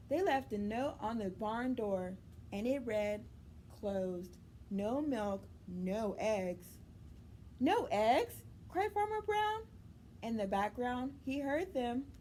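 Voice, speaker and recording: A woman with a high voice reads a picture book to young children as a story time host. She takes her time, expressive and deliberate, addressing the listeners directly. There is noticeable noise behind her.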